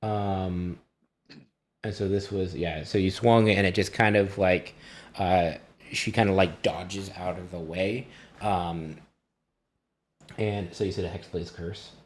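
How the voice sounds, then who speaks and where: A man acting as game master, casually and haltingly narrating a tabletop fantasy game. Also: deep voice, fairly even intonation.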